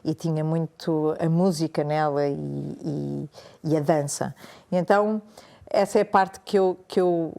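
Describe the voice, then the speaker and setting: A woman in her forties with a European Portuguese accent, speaking as a podcast guest. Her voice sits a little low and sounds warm and mellow. She talks in a measured, thoughtful way and explains at length. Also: fairly even intonation, vocal fry. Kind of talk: casual conversation.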